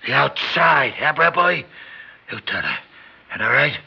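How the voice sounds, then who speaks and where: An actor playing a dying, bedridden old gangster who threatens and schemes in tough street slang, in a 1950s radio drama. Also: male voice, medium pitch, big pitch swings, unhurried, gravelly, slightly rough, exaggerated voice. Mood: scheming. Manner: threatening, mocking, swings from whisper to shout. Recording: dull old radio broadcast recording.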